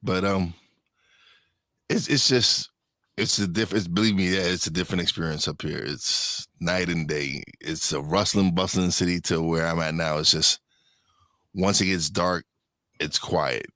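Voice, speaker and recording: A man's voice: deep voice, steady tone, unhurried, bright, forceful tone, no echo, clean studio sound. A man hosting a podcast in a casual, chatty interview style. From New York, USA. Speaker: podcast host.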